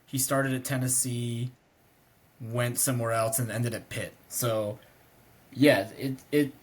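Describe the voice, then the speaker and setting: A man co-hosting a sports podcast, hoarse and congested, talking in a relaxed, rambling way. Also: medium pitch, takes it slowly. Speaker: podcast co-host. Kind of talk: casual sports chat.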